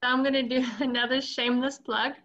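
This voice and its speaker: A woman with a high but very flat voice chats informally in a class discussion. The sound is muffled and lo-fi. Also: brisk. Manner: giggly, informal. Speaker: class participant.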